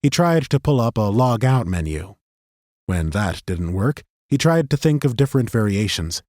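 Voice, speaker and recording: Male fantasy audiobook narrator: lowish register, animated scripted read, dry studio. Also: moderate pace, no echo.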